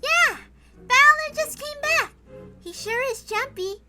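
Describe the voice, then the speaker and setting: Very high-pitched eerie little girl. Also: animated, slow, loud music behind the voice, exaggerated voice. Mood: eerily cheerful. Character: eerie little girl, calmly unsettling, smug.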